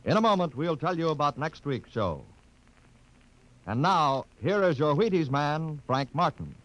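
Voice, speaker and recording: A man's voice: on the high side, lively intonation, measured pace, fairly bright tone. A man announcing in the formal scripted style of 1950s American network radio. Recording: noisy vintage radio recording.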